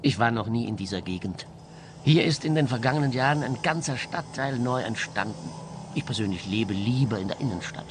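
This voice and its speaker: A man's voice: medium pitch, lively intonation, fairly quick, background noise, natural voice. A man playing a fearful, worried husband in a radio play, speaking earnestly and precisely. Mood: uneasy. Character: anxious, fearful husband, a detective's client.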